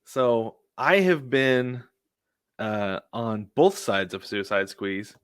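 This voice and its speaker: A man's voice: mid-range voice, animated, slow, smooth, dry recording, clean studio sound. A man co-hosting a sports podcast, telling casual stories and acting out what people said. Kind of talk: casual baseball chat with anecdotes.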